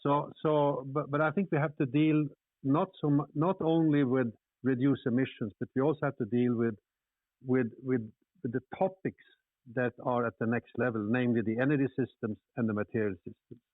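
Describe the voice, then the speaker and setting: A man's voice: medium pitch, fairly even intonation, normal speed, no room sound. A man speaking in an online panel discussion, emphatic, often stammering and restarting his words. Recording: tinny phone-like call audio.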